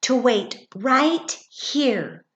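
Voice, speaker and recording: Woman voicing a high, slow, trusting little fawn. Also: animated, dull audio, exaggerated voice. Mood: trusting, hushed. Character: small lost fawn, young, patient and trusting. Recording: echoey, roomy sound.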